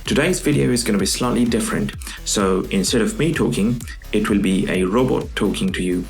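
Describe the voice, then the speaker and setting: A man hosts a tutorial video with a spoken introduction. His voice is low and has a clear creak. Music plays behind him. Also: fairly quick. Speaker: tutorial host.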